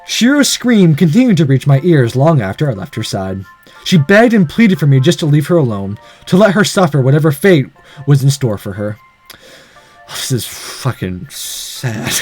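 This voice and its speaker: A man's voice: on the high side, big pitch swings, normal speed, a little mellow, slightly rough, soft background music. A man reading a visual novel aloud dramatically, breaking in with sarcastic, exclamatory commentary.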